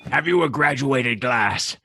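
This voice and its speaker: A man's voice: mid-range voice, lively intonation, creaky, bright, forceful tone, dry recording, exaggerated voice. A man playing a sinister, impatient, murderous alter ego in a gothic radio play, with a menacing, theatrical delivery. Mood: impatient, urgent. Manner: menacing, theatrical, slow and deliberate. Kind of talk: gothic radio drama acting.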